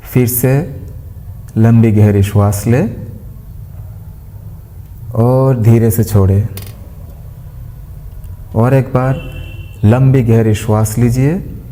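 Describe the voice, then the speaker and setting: A man guiding a meditation as a yoga teacher, giving gentle instructions in unhurried phrases with pauses. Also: medium pitch, fairly even intonation, slight background noise. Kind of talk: guided meditation with mantra chanting. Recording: long hall-like reverb.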